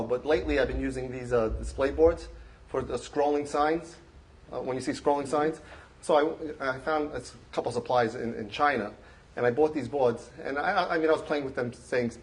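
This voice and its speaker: Guy showing off his collection at a club meeting, lots of uh and restarts, mid-pitched, handheld mic. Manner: halting, many restarts and fillers. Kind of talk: informal show-and-tell talk. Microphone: handheld microphone.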